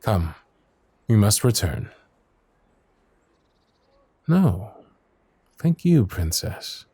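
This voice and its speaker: A man playing a gentle, dutiful young knight of the royal guard in a romantic fantasy audio roleplay, with soft, breathy speech and formal, courtly, hesitant phrasing. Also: fairly low pitch, sing-song, very slow, no echo, heavily acted. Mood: sorrowful, devoted.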